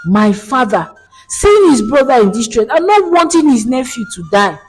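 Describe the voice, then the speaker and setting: A woman's voice: a little high, very animated, moderate pace, soft background music, pitched above the performer's own voice. Villain nanny, performed by a woman storyteller: bitter, emphatic, raised and swooping voice cracking with emotion, folktale. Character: bitter, vengeful young nanny, a menacing female villain.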